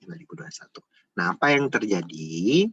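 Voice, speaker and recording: A man's voice: on the low side, very animated, normal speed, a bit bright, slightly rough, muffled recording. A man giving an informal step-by-step tutorial, repeating himself and often adding small checking tags. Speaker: accounting tutor.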